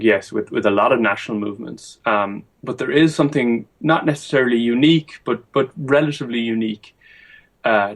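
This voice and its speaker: A young adult man answering as a guest historian in an interview, measured and thinking aloud with frequent ums. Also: medium pitch, fairly bright tone.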